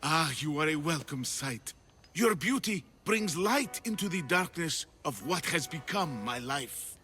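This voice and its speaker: A man's voice: fairly high pitch, lively intonation, a little slow, pushed and bright, faint music behind. A man playing an anxious, effusive and fussy hunted sculptor in a dramatic video game. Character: anxious, excitable hunted male Greek sculptor, theatrical and scholarly.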